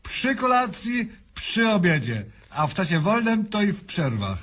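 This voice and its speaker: Smug political candidate, high husky voice, swooping intonation, jocular, crude grammar, radio comedy. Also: male voice, big pitch swings, unhurried, a bit bright, heavily acted. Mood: boastful. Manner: pompous, jocular, mangled uneducated grammar. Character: smug, cheerful male presidential candidate, crude, self-satisfied patient. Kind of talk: satirical radio sketch acting.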